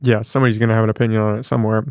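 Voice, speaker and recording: A man's voice: fairly low pitch, level tone, very fast, clean tone, no echo, clean studio sound. A man hosting a podcast, talking in a reflective, encouraging way with casual swearing. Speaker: podcast host. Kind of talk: casual interview conversation.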